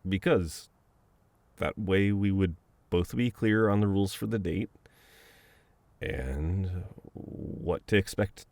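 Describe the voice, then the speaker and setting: Low, mellow, flustered best-friend guy, acted by a man. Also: slow, no echo. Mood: reluctant, awkward. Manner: conversational, exasperated, breaking into chuckles and sighs. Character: flustered, teasing male best friend with a dominant streak. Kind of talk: intimate ASMR roleplay audio acting.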